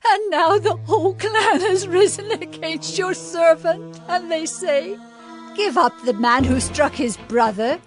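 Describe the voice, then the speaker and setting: A woman playing a grief-stricken, pleading village widow in a mournful, imploring style for a dramatized audio Bible. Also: sits high. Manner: slow, imploring, mournful delivery.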